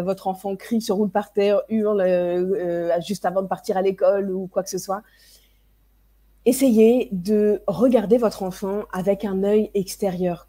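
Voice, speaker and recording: A woman in her thirties talks parents through handling tantrums on a live stream. Her voice is mellow and sits at a middle pitch. She speaks casually and hesitantly, often restarting her sentences and filling pauses. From France. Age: 30s. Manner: hesitant, many fillers and restarts. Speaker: parenting coach. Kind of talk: live stream advice talk.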